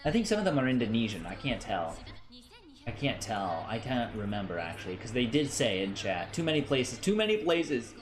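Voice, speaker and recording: A young American man chatting casually on a game stream, animated and playful, often stammering and repeating phrases. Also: medium pitch, fairly quick, music in the background. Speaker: livestreamer, VTuber.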